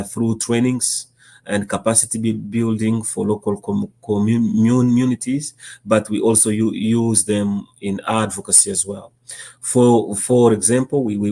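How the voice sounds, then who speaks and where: A man with a Congolese accent answering as an interview guest, speaking haltingly and often repeating the starts of words. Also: fairly low pitch, darkish tone. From Democratic Republic of Congo. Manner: halting, stammering repetitions of word starts. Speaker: interview guest, nonprofit executive director.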